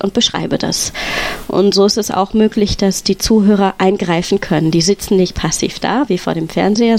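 A woman's voice: mid-range voice, darkish tone. Enthusiastic female storyteller in an interview. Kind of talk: spontaneous conversational interview answers.

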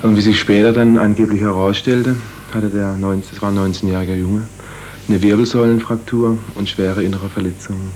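Low-voiced man recounting events haltingly. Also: little pitch movement, darkish tone, slight background noise. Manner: halting, with fillers and restarts. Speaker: interviewee, medic. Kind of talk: personal eyewitness account.

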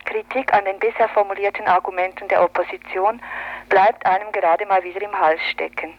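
Female radio commentator, medium pitch, reading prepared political copy; muffled 1980s broadcast sound. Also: steady tone, a little fast. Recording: dull lo-fi 1980s radio recording.

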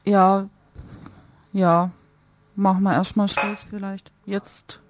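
A woman hosting a live radio interview unscripted, hesitant and pausing as she improvises. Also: mid-range voice, flat delivery, background noise.

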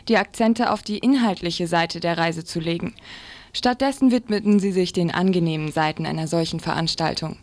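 A woman reading a scripted news commentary as a radio presenter. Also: mid-range voice.

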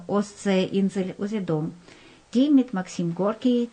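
Female radio co-host and interviewer, medium pitch, scripted presenting with hesitant moments. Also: darkish tone.

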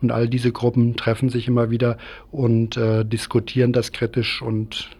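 Male interview guest, soft dark tone, hesitant explainer, activist speaking off the cuff. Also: medium pitch, monotone, husky. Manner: halting, explanatory. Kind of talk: unscripted radio interview.

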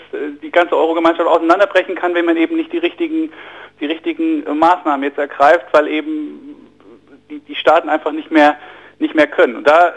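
A man with a German accent speaks as a radio interview guest, explaining finance in long, engaged runs. His voice sits a little high for a man. He hesitates often, repeats words and fills pauses with ums while searching for the next phrase. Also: low-quality recording. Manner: fluent but halting, many fillers and repeated words. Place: radio studio.

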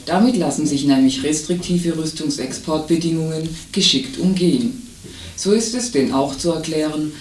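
Higher-voiced man reading a radio report. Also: darkish tone, poor audio. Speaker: radio presenter. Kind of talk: scripted radio magazine report, read aloud.

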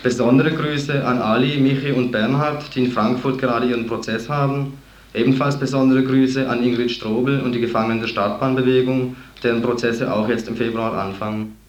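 A man's voice: mid-range voice, steady tone, brisk. A man reading out a written statement steadily, with slight stumbles. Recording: roomy dull recording with faint hiss.

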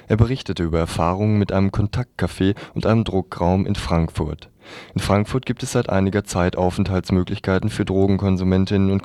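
A man reads prepared radio reports as a presenter. His voice is very low and dark, and it is captured dry and close, with little room sound. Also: no room sound.

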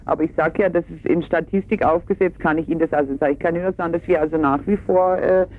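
Over a thin, narrow phone line, a man answers an interviewer. His voice sits fairly high, and he talks in a colloquial, hesitant way. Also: steady tone, fast talker. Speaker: travel agency employee being interviewed. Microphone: telephone.

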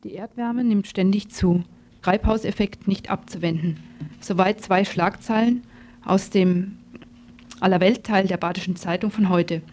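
A woman's voice: mid-range voice, unhurried, darkish tone, slight background noise. Woman narrating an ironic radio piece. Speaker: radio reporter. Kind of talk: scripted narration.

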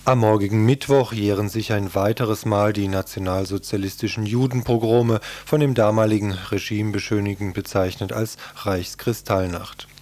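A man's voice: fairly low pitch, a little mellow. A man reading scripted documentary narration as a radio reporter, formal and sober.

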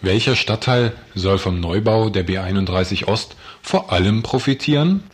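Husky, low-voiced male radio reader. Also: animated, normal speed. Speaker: radio co-presenter. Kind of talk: scripted reading.

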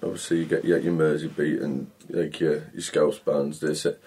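A man's voice: very deep voice, little pitch movement, moderate pace, darkish tone, breathy edge. A man from England, a band's singer, chatting in an interview with dry, laid-back humour. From North East England. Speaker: singer and guitarist. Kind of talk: interview chat.

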